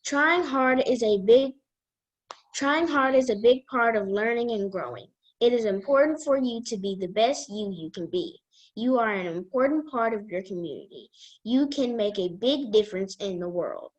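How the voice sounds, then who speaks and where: A woman guest reader reading a children's book aloud in a deliberate way. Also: on the high side, expressive, poor audio.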